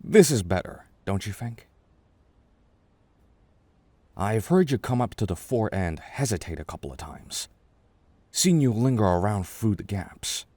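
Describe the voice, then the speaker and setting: Gentle, rambling older chess king played by a man, soothing ASMR roleplay, deep voice, very dry close sound, halting reassurance. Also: big pitch swings, no room sound. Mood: gentle concern.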